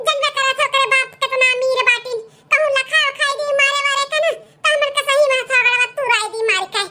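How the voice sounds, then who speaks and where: A very high, piercingly bright cartoon voice an old village mother-in-law. She speaks with an Awadhi accent, wheedling and complaining as she schemes. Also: steady tone, fairly quick, full character voice. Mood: pleading. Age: older. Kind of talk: Awadhi comedy cartoon dialogue.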